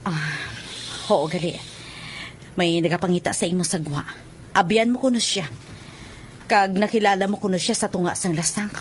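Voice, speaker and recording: A woman's voice: on the low side, normal speed, pauses often, slight background noise, close to an everyday voice. A woman acting a concerned, fussing mother. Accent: Filipino, Hiligaynon. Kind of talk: radio drama acting.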